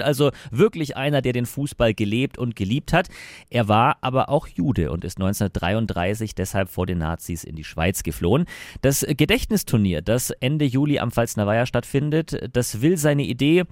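A man presenting club news to fans, steady and informative, talking directly to the listeners. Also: medium pitch, dry recording, studio quality.

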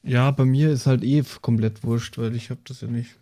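A man's voice: mid-range voice, fairly even intonation, moderate pace, warm tone. A man in casual, slangy conversation as a player at a tabletop game. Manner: colloquial, slangy. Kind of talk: casual chat.

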